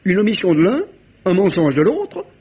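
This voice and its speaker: An actor playing a shrewd, courteous, theatrical Belgian detective in a 1950s-style French radio mystery, with suave, teasing phrasing and a husky voice. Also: male voice, high-pitched, big pitch swings, moderate pace, warm tone, dull audio, exaggerated voice. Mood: grave, knowing.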